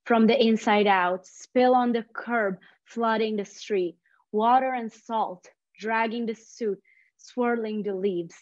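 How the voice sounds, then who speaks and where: Slow Italian-accented woman on dull, dry audio. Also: on the high side, fairly even intonation. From Italy. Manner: slow, careful, gentle reading. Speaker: project coordinator reading a poem. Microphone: video call.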